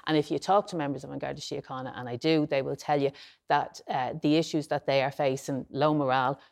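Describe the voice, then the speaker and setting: An Irish woman politician talks quickly in a low register. She presses her points earnestly and insistently, and her voice climbs toward emphasis as she argues on a radio panel. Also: steady tone, gravelly, darkish tone.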